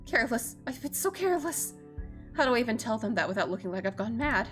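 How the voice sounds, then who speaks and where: A woman's voice: on the high side, very animated, fast talker, a bit bright, faint music behind. A woman streamer reading a visual novel aloud expressively, with casual, sweary reactions of her own.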